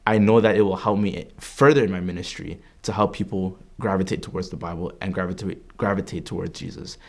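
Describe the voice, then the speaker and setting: A man's voice: low-pitched, fairly even intonation, brisk. A man in his early twenties from Canada, a religious studies student giving an earnest, reflective personal testimony with frequent fillers and restarts. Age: 20s.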